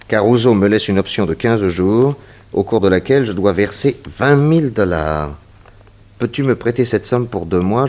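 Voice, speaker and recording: An actor playing a calm, shrewd detective in a 1960s French radio drama, probing and methodical, politely commanding. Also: male voice, sits low, close to an everyday voice. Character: calm, shrewd male detective leading an inquiry.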